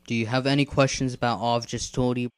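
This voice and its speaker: A man playing a patient, earnest animal assassin mentor in an animated drama, explaining things in a measured, instructive way. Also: medium pitch, clear, resonant tone, no room sound, natural voice. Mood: helpful. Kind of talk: scripted animated drama dialogue. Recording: background music under the voice.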